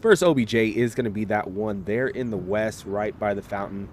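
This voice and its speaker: A man casting a live esports match, relaxed and chatty, talking straight to viewers while getting over a slight cold. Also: on the low side, moderate pace, slight background noise. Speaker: esports caster.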